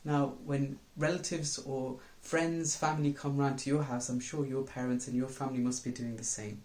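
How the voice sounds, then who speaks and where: A man acts as storyteller for children, talking earnestly and straight to his listeners. His medium-pitched voice rings around a roomy space with noticeable echo. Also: steady tone, moderate pace, a little mellow. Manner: earnest, addresses listeners directly. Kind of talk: reading a children's bedtime story aloud. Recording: roomy, echoing sound.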